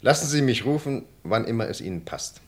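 A man's voice: mid-range voice, animated, brisk, close to an everyday voice. A man playing a dutiful, insistent police sergeant in a radio crime play, clipped and deferential. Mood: deferential, urgent.

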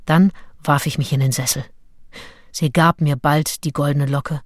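An actress playing a self-assured, calculating nineteenth-century seducer who narrates her diary in a radio play, wry and deliberate. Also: sits low, fairly even intonation, no echo, clean studio sound. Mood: intimate, wry. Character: self-assured, calculating female seducer and diarist, early 1800s.

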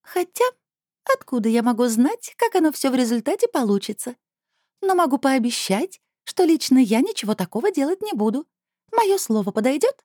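A woman reader voicing a playful, coaxing female being with a soft, enveloping mezzo voice in a storybook. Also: high voice, sing-song, normal speed, no echo, clean studio sound, voice pushed up. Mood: persuasive. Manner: purring, teasing, coaxing.

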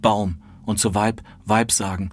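A man's voice: fairly low pitch, fairly even intonation, measured pace, close to an everyday voice. An actor playing an exhausted, impassioned man, declaiming literary prose with weary emphasis. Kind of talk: dramatic literary performance.

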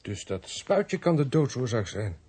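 An actor playing an earnest, slightly testy junior police detective in a radio play, with Netherlands Dutch speech and a formal, pointed manner. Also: male voice, medium pitch, big pitch swings, a little slow, dark tone, husky. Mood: indignant. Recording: noisy archive radio play recording.

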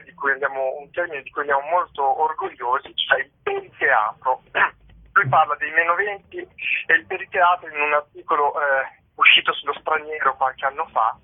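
A man being interviewed as a theatre-maker, explaining his work reflectively and pausing to search for words. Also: a little high, expressive. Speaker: theatre company member, interview guest. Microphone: telephone. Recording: narrow tinny phone line.

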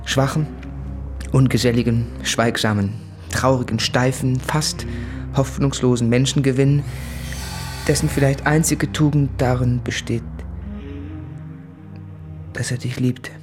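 An anguished suitor in a radio play, acted by a man, pleading with his fiancée. He is intense, earnest and deliberate, with clear music behind his mid-pitched voice. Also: fairly even intonation, lightly acted. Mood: earnest warning. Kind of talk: radio drama, literary monologue.

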